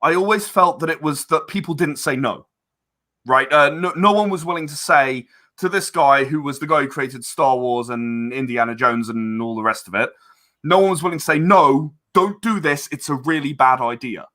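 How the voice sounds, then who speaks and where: A man's voice: fairly high pitch, moderate pace, pushed and bright. A man chatting casually as an interview guest, hesitant and self-correcting, earnest and polite. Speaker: interview guest, game publisher and audio drama creator.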